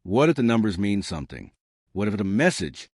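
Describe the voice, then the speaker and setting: A man's voice: lowish voice, sing-song, a little fast, darkish tone, no room sound, clean studio sound. A man voicing a brave, adventurous teenage girl in a horror storybook reading, speaking eagerly and with determination.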